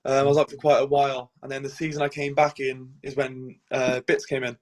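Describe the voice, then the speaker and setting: A man's voice: mid-range voice, fairly quick. A man in his twenties with a Cardiff Welsh accent, a goalkeeper answering interview questions casually with many "um"s. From Wales. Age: 20s. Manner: relaxed, many "um"s and "and stuff". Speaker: guest goalkeeper.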